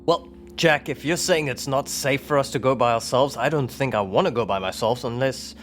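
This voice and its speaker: A man voicing a cautious, rational investigator in a tabletop game, measured and rather formal. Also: medium pitch, lightly acted. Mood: cautious, questioning. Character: cautious, rational male investigator advising his partner. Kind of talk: tabletop roleplay.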